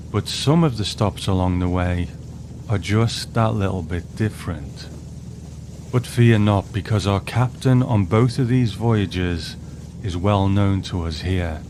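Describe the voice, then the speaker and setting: A man's voice: deep voice, noisy background. A man hosting a show with scripted narration, introducing and discussing a story. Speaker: host.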